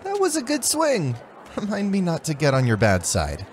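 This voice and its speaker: An actor playing an earnest young soldier and dutiful son in an audiobook drama, a little hesitant in manner. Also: male voice, high voice, huge pitch swings, normal speed, a little hiss or hum. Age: young adult.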